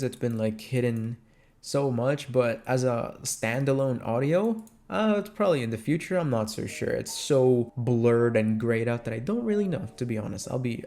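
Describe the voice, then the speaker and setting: A man's voice: medium pitch, a little mellow. A man with a Filipino accent chatting casually and candidly to listeners, answering questions in an informal way with the odd swear word. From Philippines. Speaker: ASMR voice actor and creator. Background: music.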